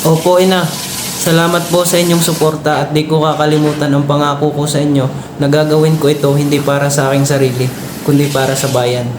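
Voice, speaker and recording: A man's voice: fairly high pitch, little pitch movement, fairly quick, noisy background, lightly acted. A young Filipino man playing an earnest, devoted young patriot in a student radio drama, speaking in formal declamation. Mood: resolute. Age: young adult. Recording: roomy echo under music.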